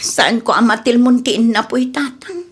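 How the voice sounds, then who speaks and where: A woman's voice: fairly high pitch, normal speed, a little hiss or hum, close to an everyday voice. An actress playing a lovesick, troubled young woman in a radio drama, whiny and emotional in manner. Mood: troubled, plaintive. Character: lovesick, distressed young woman quarrelling and confiding.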